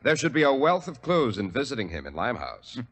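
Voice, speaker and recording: A man with an American accent announcing a 1940s radio sponsor pitch in a polished, emphatic, salesmanlike way. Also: very animated, brisk, a bit bright.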